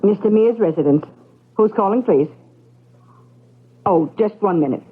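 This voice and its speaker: An actress playing a polite, hesitant household maid in an old-time radio drama. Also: female voice, mid-range voice, animated, measured pace, slight background noise, natural voice. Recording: muffled vintage radio broadcast recording.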